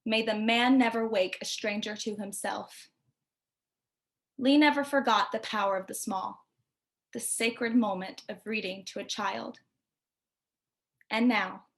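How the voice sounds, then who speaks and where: A woman's voice: mid-range voice, fairly even intonation, slow pace, long pauses, a bit bright. A woman reading scripted narration as the host of a poetry feature.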